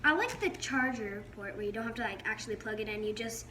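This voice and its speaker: Higher-voiced woman in very noisy recording. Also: lively intonation, quick pace. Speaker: co-reviewer, helper. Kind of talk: casual chat. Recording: very noisy, clicky home recording.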